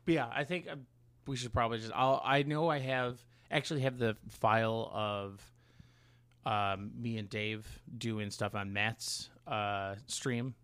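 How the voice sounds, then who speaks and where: A man's voice: lowish voice, fairly even intonation, fairly bright tone, smooth, dry recording. A man co-hosting a podcast in casual chat, rambling and interrupting himself as he goes, with casual swearing. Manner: rambling, halting, self-interrupting. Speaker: podcast co-host.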